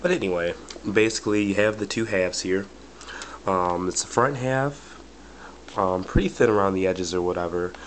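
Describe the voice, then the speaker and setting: A man's voice: low-pitched, slight background noise. Man with a cold, casual rambling. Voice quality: slightly cracky voice. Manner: rambling, many fillers like "but anyway" and "or whatever". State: has a bad cold. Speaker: product reviewer. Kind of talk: casual product review vlog.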